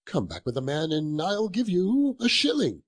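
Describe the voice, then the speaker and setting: A man's voice: mid-range voice, big pitch swings, unhurried, darkish tone, breathy edge. A man voicing an elderly reformed miser in a storybook reading, jubilant and effusive, full of exclamations and chuckles. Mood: giddy, delighted.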